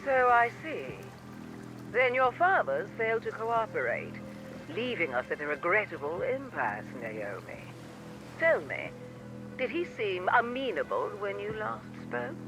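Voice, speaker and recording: A smooth, formal pirate underling from a video game. His high voice lilts widely and courteously over loud music. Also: wildly swooping pitch, unhurried, exaggerated voice, poor audio. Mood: coolly probing. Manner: formal, deferential. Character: smooth, polite henchman of a pirate queen. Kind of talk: scripted video game cutscene acting.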